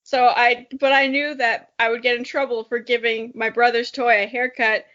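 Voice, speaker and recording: Young woman, podcast co-host. High voice, joking, casual read-aloud and banter, slightly dull lo-fi audio. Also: little pitch movement, normal speed, fairly bright tone. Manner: playful, joking. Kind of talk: reading a childhood story aloud, casual banter.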